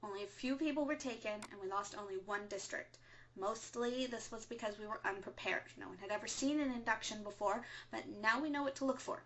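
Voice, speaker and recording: A woman playing a composed, earnest resistance radio broadcaster in a scripted audio drama, speaking in a measured, solemn way. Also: medium pitch, a bit bright, highs cut off, slight background noise, lightly acted. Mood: calm, serious. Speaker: radio show host.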